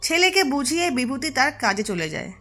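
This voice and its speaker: A woman's voice: high voice, very animated, brisk, bright, forceful tone, faint music behind. A woman narrating a scripted cartoon storybook tale.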